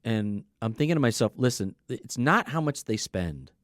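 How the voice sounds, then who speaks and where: A man's voice: medium pitch, expressive, normal speed, no room sound, clean studio sound. A man talking as a business coach in a casual podcast conversation, explaining his points and telling anecdotes in a conversational way. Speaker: car dealership service coach.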